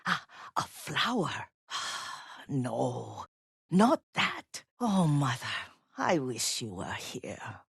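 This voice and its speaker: Husky, slow-spoken elderly Japanese woman. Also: rumbling low, wildly swooping pitch, dry recording, studio quality, exaggerated voice. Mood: confused, wistful. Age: older. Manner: gentle, deferential, unhurried, reminiscing. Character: elderly Japanese woman, devoted, nostalgic family caretaker. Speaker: video game character. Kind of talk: dramatic video game acting.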